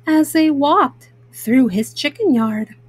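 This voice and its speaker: A woman's voice: high voice, animated, takes it slowly, dry recording. A woman storyteller narrating a children's storybook.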